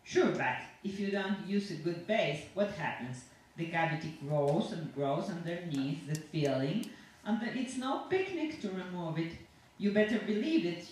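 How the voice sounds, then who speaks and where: A woman reading a story aloud as a chatty, self-satisfied, sinister dentist who rambles on with cheerful reassurance to a patient. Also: on the low side. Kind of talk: dramatic monologue read aloud to an audience. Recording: echoey room from far off, faint noise.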